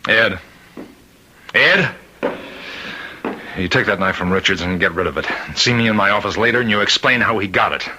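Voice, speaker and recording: Rattled warden for radio mystery: very tense, halting, authoritative, slight recording hiss. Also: male voice, lowish voice, expressive, sharp tone. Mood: angry, commanding. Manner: agitated, halting, repeats himself. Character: troubled male prison warden, rattled and commanding. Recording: faintly noisy old radio recording.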